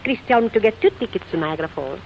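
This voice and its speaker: An actress playing a brash, bossy maid in 1940s comedy style, wisecracking in exclamatory broken English. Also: female voice, medium pitch, lively intonation, rapid-fire, vocal fry. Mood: bossy, excited. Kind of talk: 1940s musical comedy acting. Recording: dull old recording, faint noise.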